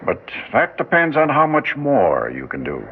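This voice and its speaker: An actor playing a blunt, street-wise New York police detective in a 1950s radio drama. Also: male voice, medium pitch, wildly swooping pitch, normal speed, a little hiss or hum. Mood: reassuring. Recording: dull lo-fi old radio recording.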